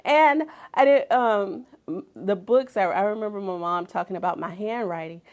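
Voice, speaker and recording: A woman's voice: medium pitch, normal speed. A middle-aged woman giving an emphatic inspirational talk, repeating phrases for effect and sometimes dropping to a whisper. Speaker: motivational speaker and author.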